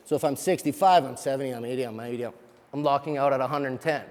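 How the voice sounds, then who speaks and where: A man working as a fitness trainer, giving an energetic coaching demo of equipment in a large gym. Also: mid-range voice. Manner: energetic, coaching, rapid explanations. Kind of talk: live gym demonstration. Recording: long reverb of a big gym.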